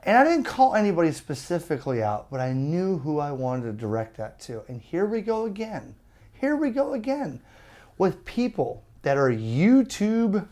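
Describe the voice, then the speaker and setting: A man's voice: a little high, darkish tone. A man giving an opinionated, exasperated video rant to his viewers in a blunt, emphatic way. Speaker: ham radio YouTuber.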